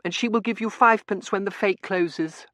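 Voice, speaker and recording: A man's voice: sits high, normal speed, bright, forceful tone, dry recording, studio quality, voice pushed up. A man voicing a bossy, whiny, self-righteous little Victorian girl in an audiobook. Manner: wailing, insistent, self-righteous. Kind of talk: audiobook character acting.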